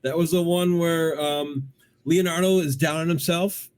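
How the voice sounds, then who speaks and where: A man's voice: a little high, fairly even intonation, measured pace, darkish tone, clear, resonant tone. A man hosting a casual countdown chat about cartoons, curious and chatty. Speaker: podcast host.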